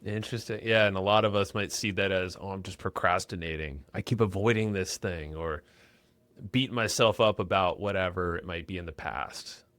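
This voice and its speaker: Low-voiced guy hosting a podcast, chatty and upbeat, dry close sound. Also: normal speed, fairly bright tone, no room sound. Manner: upbeat, chatty, repeats words when starting sentences. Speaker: podcast host. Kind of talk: casual podcast interview with ad read.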